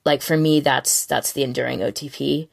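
A woman's voice: extremely low pitch, fairly even intonation, moderate pace, gravelly. A woman co-hosting a casual fan podcast, talking in an effusive, rambling way. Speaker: podcast co-host.

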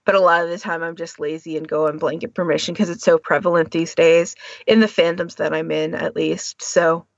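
A woman's voice: sits low, fairly even intonation, fairly quick, vocal fry, hardly any pauses, dry recording, highs cut off. A woman co-hosting a podcast, talking casually and informally with firm opinions of her own. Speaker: podcast co-host.

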